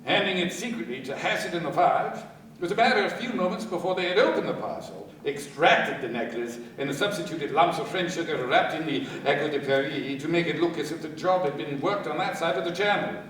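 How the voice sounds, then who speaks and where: An actor playing a composed police inspector, speaking formally with dry irony in a radio crime drama. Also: male voice, a little high, fairly bright tone, close to an everyday voice. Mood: explaining, assured. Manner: measured, formal, dryly ironic. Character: composed, dryly triumphant male police inspector. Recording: very echoey, distant, faint noise.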